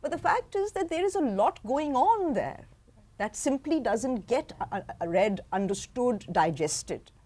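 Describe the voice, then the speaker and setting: A woman's voice: a little high, a little mellow. A woman author and history professor answering audience questions at a university book talk, talking in an emphatic, pointed way. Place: university lecture hall.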